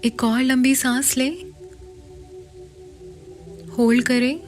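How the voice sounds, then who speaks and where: A woman with an Indian accent leads a guided meditation in a soft, soothing, instructional way, often slipping toward a breathy whisper. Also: sits high, takes it slowly. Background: background music.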